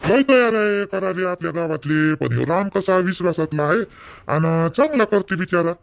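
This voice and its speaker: A man's voice: high-pitched, animated, normal speed, gravelly, a little hiss or hum. A man voicing an irritable middle-aged village father in a cartoon family drama, arguing in colloquial Varhadi Marathi. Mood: matter-of-fact. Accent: Varhadi Marathi from Vidarbha. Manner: colloquial, argumentative.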